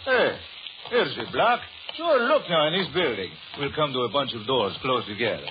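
A tough, cocky gangster, played by a man, snarls in curt slang and bullies whoever is with him. The voice sits at a middling pitch, in old radio thriller style. The recording is muffled, with heavy background noise. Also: sing-song, normal speed, close to an everyday voice. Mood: confident. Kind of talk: 1930s radio thriller acting.

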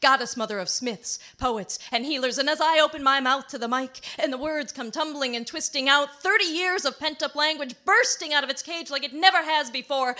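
A woman's voice: high-pitched, bright, forceful tone. A woman performing a slam poem live, with an intense, rhythmic, incantatory delivery. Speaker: slam poet. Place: live poetry slam venue.

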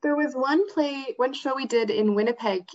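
A woman's voice: sits high, normal speed, darkish tone. A woman explaining things as an interview guest, on a dull, lo-fi recording. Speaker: interview guest, theatre education manager and actor. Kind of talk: interview conversation.

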